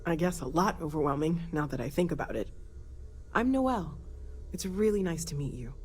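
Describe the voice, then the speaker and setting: A woman's voice: deep voice, expressive, moderate pace, soft background music. An actress voicing a friendly, thoughtful young woman scientist in a video game, earnest and a little awkward. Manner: earnest, slightly awkward, tentative. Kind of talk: scripted video game dialogue. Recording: clear background noise and ambience.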